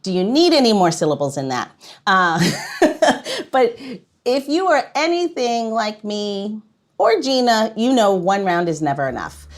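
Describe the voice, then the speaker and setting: A woman hosting a podcast in a playful, expressive way, moving between banter and show announcements. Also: medium pitch, unhurried. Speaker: podcast host.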